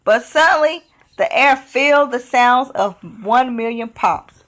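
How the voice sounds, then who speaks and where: Slow, high-voiced woman storyteller. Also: fairly bright tone. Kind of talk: children's picture book read-aloud.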